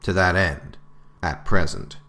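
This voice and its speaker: A man playing a stern government director in an audio drama. His voice is extremely deep with a gravelly creak, and he delivers formal, oratorical narration with grave, emphatic authority. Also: measured pace, sharp tone, full character voice. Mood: sober, formal. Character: stern, resolute male government director writing a survival handbook.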